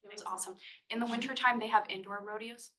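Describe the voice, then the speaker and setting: Young woman, casual q&a, chatty, filler words, bright voice. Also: a little high, fairly even intonation, quick pace. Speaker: science writing student presenting thesis. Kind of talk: live presentation Q&A.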